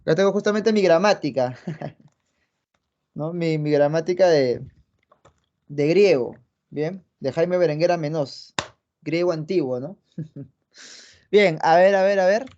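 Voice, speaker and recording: Young male tutor, high voice, chatty online lecture, lo-fi computer mic. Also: measured pace, long pauses, a little mellow. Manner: chatty, tags phrases with "¿no?" and "¿ya?"; talks directly to students, affectionate asides. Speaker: philosophy teacher. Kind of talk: online pre-university lecture. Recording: muffled lo-fi audio.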